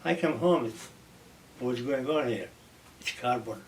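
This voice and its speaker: A man's voice: medium pitch, lively intonation, unhurried. An older man joking wryly about his marriage in a casual chat. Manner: wry, joking complaints. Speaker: interviewee, husband. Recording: distant mic with loud background noise.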